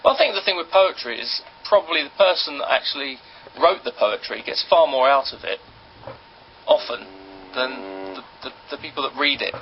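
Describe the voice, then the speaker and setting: A man with an English accent talks very quickly in a fairly high voice. He rambles mildly and hesitantly, like a radio sidekick in casual chat. The sound is dull and lo-fi. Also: expressive. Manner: hesitant, rambling, mild-mannered. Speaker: radio show producer and on-air sidekick. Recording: dull lo-fi radio recording, clear noise.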